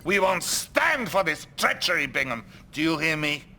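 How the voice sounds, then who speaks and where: A gravelly premier. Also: male voice, a little high, big pitch swings, moderate pace, bright, forceful tone, a little hiss or hum, full character voice. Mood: furious. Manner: stern, accusing.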